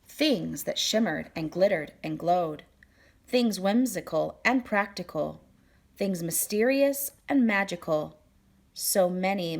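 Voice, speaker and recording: A woman reading a children's picture book aloud as storyteller, with expressive, deliberate phrasing and dramatic emphasis. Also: fairly low pitch, creaky.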